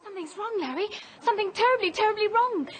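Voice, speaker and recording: A woman's voice: very high voice, expressive, quick pace. A woman playing an anxious, easily frightened young castaway, fretful and exclaiming, in old radio adventure style. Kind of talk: 1940s-50s radio adventure acting. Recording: noisy vintage radio drama recording.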